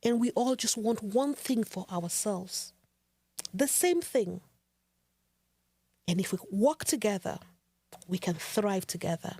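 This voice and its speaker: A woman hosting a podcast, giving an earnest, measured and rhetorical monologue. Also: medium pitch, lively intonation, warm tone, breathy edge, no echo. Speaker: podcast host.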